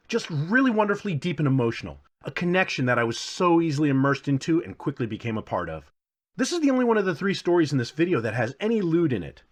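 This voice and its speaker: Fast, animated man reviewing games. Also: medium pitch. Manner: playful, self-deprecating, jokey asides. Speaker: video game reviewer and channel host. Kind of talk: casual scripted review commentary.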